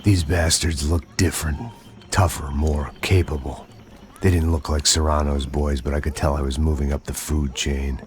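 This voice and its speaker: An actor playing a bitter, middle-aged ex-cop, narrating in a gravelly American voice with sardonic, brooding deadpan. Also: male voice, rumbling low, normal speed. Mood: wry, deadpan. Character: world-weary, bitter middle-aged male ex-cop turned gunman. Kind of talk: scripted hard-boiled noir game narration. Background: background music.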